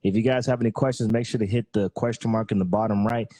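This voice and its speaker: A man's voice: lowish voice, fairly even intonation, quick pace, dark tone, no echo. A man hosting a podcast, chatting casually with a guest and ending his lines with "man" and "bro". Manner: laid-back slangy talk, "man" and "bro" at the end of lines. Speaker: podcast host. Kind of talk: casual interview chat.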